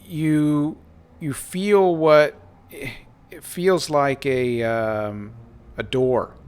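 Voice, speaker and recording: A man narrating a tabletop game as game master, improvising in halting phrases with pauses mid-sentence. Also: on the high side, fairly even intonation, a little mellow, smooth.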